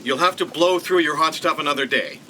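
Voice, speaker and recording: A man's voice: a little high, bright, forceful tone, slight background noise. A man playing a confident, earnest, pompous investigator in a comedic sci-fi audio drama. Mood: confident, reasoning. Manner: earnest, deliberate explaining.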